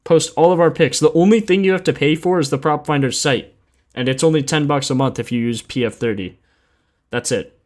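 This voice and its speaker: A man's voice: fairly high pitch, brisk, darkish tone. A young man hosting a sports betting livestream, chatting casually in slangy, informal language and thinking aloud as he goes. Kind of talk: casual livestream chat and commentary.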